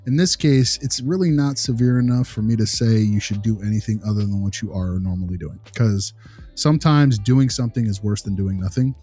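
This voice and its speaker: Guy acting as laid-back male therapy consultant, low mellow voice, kinda muffled, casual and reassuring, faint music behind. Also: a little fast, slightly rough. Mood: frank, reassuring. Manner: informal, hedging, reassuring. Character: easygoing male behavior analysis consultant advising a parent. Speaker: podcast role-player. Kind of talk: casual role-played consultation.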